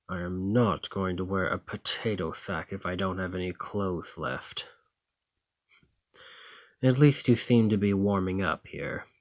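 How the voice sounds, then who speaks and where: A man's voice: low voice, no room sound. A man playing a dominant, teasing fantasy thane in an ASMR roleplay, commanding and flirtatious. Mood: wry, teasing. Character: dominant Nordic fantasy thane, teasing, confident warrior lord. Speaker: roleplay audio performer. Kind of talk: ASMR fantasy roleplay acting, addressing the listener.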